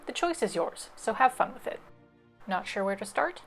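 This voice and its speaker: A woman's voice: mid-range voice, sing-song, moderate pace, soft background music. A woman library presenter giving scripted instructional narration, explaining things step by step.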